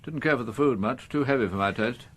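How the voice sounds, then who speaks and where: Fussy English gentleman, acted by a man, medium-pitched, languid, grumbling politely, upper-class, radio play. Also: fast, slightly rough, lightly acted. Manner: languid, grumbling, well-mannered. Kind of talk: radio crime drama acting.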